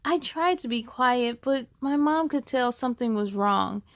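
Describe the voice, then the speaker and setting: Cheerful lady doing kids storytime, really high voice, super expressive, dry close sound. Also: measured pace, no room sound. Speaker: host of a children's read-aloud show. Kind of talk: animated children's storytime reading.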